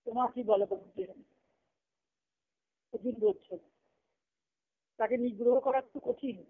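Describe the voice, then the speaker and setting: A woman's voice: a little high, steady tone, slow. A woman spiritual teacher giving a devotional discourse over a phone conference call, speaking didactically in short phrases with repetition and rhetorical questions. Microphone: telephone. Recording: narrow, tinny phone conference line.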